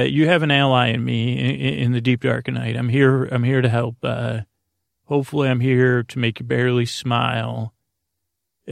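A man with a creaky voice hosting a bedtime podcast, meandering informally and hesitantly through sidetracks and half-finished words. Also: mid-range voice, no room sound, studio quality. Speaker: podcast host.

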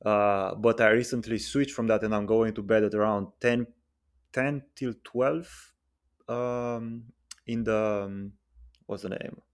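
Laid-back man in his twenties, low voice. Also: slow, clear, resonant tone. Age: 20s. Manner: relaxed, hesitant with many fillers. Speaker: guest, freelance software engineer. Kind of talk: casual podcast conversation.

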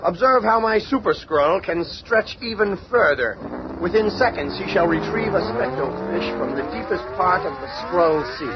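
An actor playing a proud, deferential alien court wizard, presenting with formal showmanship in a radio drama. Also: male voice, sits high, lively intonation, normal speed. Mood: proud, ceremonious. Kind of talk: superhero radio drama acting. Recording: muffled radio drama recording, faint noise.

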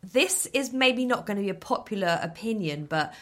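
A woman co-hosting a podcast in casual, emphatic chat, her voice husky from a cold. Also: medium pitch, expressive, moderate pace. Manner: enthusiastic, emphatic, repeats herself for effect. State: husky voice from illness. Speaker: podcast guest and co-host.

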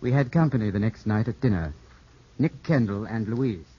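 An actor playing an impulsive, lovestruck young gentleman in a 1950s radio drama, theatrical and romantic in manner. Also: lowish voice, animated, normal speed, close to an everyday voice. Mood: reflective narration. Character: impulsive lovestruck young English gentleman, twenty-five, headstrong. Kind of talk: 1950s radio drama acting with first-person narration. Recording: dull 1950s radio broadcast, orchestral music behind.

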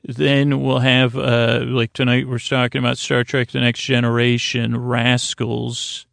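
A man with a creaky voice hosting a bedtime podcast, talking in a soothing, meandering way with halting, repeated phrases that trail off. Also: medium pitch, level tone, fairly bright tone, no echo. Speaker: podcast host.

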